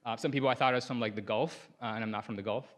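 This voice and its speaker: A man's voice: mid-range voice, fairly even intonation, very fast. Sudanese man giving a casual tech talk. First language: Arabic. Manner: casual, lots of "like" and "you know" fillers. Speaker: conference speaker. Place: conference stage.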